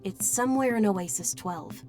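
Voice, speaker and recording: A woman's voice: mid-range voice, moderate pace, natural voice. A woman playing a businesslike strategist in a video game, briefing in a composed, explanatory way. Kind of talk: scripted game voice acting. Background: music.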